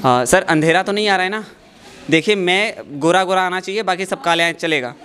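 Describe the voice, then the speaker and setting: A man's voice: high-pitched, brisk, heavy background noise. A young man hosting a playful classroom quiz on a handheld mic, chatty and teasing as he questions people. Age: young adult. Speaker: quiz host. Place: large school classroom, indoors. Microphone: handheld microphone. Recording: classroom with audible crowd of students. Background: crowd of students.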